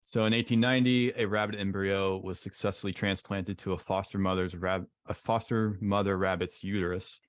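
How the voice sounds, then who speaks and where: A man co-hosting a webinar, relaxed and halting, filling his talk with "like" and "basically" as he reads slides. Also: low voice, no room sound. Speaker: podcast co-host.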